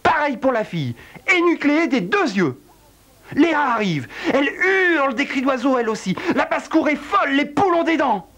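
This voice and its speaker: A man telling a dark true-crime tale in a wry, theatrical way, with a cold. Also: big pitch swings, moderate pace. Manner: wry, theatrical storytelling with big pitch swings. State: has a cold. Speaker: narrator. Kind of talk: dramatic spoken narration.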